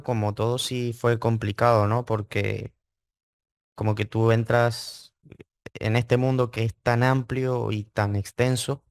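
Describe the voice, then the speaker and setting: A man's voice: on the low side, smooth, no echo, studio quality. A man, answering interview questions casually and hesitantly, repeating words. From Venezuela (Mérida). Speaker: interview guest, trading student.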